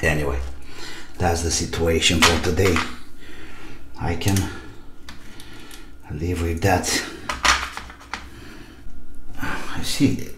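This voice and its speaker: Slow, low-voiced man in a noisy room. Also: fairly even intonation. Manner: muses aloud in short bursts, asking himself questions. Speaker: hobby repairer presenting his own video. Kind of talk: casual running commentary while repairing. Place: small indoor home workshop at a desk. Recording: echoey room with loud noise, clicks.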